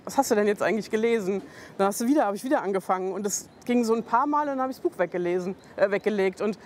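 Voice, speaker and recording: A woman speaking earnestly and warmly in an interview, quickening as she recounts. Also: mid-range voice, slight background noise. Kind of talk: personal interview.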